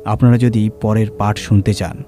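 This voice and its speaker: A man narrating a scripted horror story in a measured, suspenseful, dramatic storytelling manner. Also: fairly low pitch, level tone, warm tone. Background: music.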